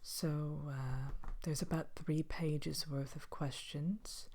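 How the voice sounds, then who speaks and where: A vain evil queen from a fairy tale, played by a woman, murmurs in a low, soft whisper. She speaks slowly and haughtily, with dry condescension and pauses, in an ASMR talk-show style. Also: fairly even intonation, a little hiss or hum. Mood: haughty, impatient.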